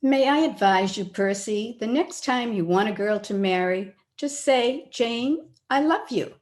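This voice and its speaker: A woman playing a self-possessed, gently frank and teasing young woman in old-time radio drama style. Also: on the high side, expressive, a little slow. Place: at home, recorded over a video call.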